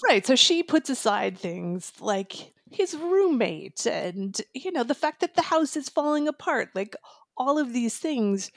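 Woman reviewing books, gravelly edge. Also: fairly high pitch, big pitch swings, measured pace, no echo, studio quality. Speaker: audiobook reviewer and show guest. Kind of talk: conversational book discussion.

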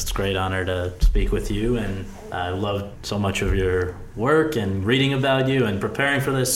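A man speaks as an interview host in a mid-pitched voice. He is articulate and courteous, phrasing things neatly. A faint rumble sits behind him. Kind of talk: scripted introduction, then polite interview.

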